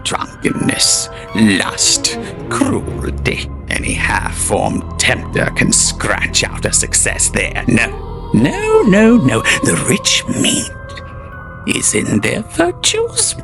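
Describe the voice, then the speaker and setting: Scornful ancient demon lecturing his apprentice, voiced by a man; gravelly, slow, whispery and sneering. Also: wildly swooping pitch, over music, heavily acted. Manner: whispery, sneering, swings high and low.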